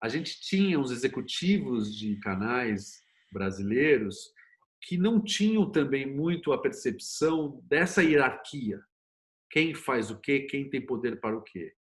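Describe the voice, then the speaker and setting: A man's voice: mid-range voice, unhurried. A Brazilian man speaking as an interview guest, explaining conversationally in an opinionated way with rhetorical questions. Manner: opinionated, animated storytelling with rhetorical questions and acted quotes. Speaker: screenwriter and series producer, interview guest.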